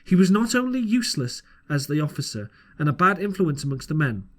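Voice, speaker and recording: A man's voice: fairly high pitch, big pitch swings, fairly quick, fairly bright tone. A man narrating an old adventure story as an amateur audiobook reader, sometimes stumbling and rereading a phrase.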